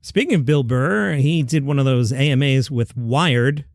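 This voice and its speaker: A man's voice: mid-range voice, unhurried, no echo. A man hosting a comedy news podcast, reading headlines with dry offhand asides, his voice a little worn. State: slightly worn voice.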